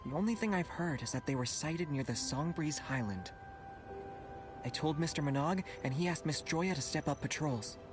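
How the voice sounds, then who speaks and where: A man's voice: fairly high pitch, lively intonation, normal speed, music in the background, close to an everyday voice. A man playing an earnest, caring and slightly nervous young male companion in a video game. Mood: serious.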